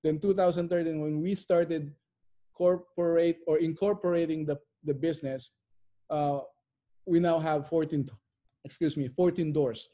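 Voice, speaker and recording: Male interview guest, middle-aged, somewhat high and mellow voice, emphatic repetition, conversational. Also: fairly even intonation, unhurried. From immigrant to Canada. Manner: emphatic, repeats phrases for effect. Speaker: interview guest, real estate investor. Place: bright living room at home.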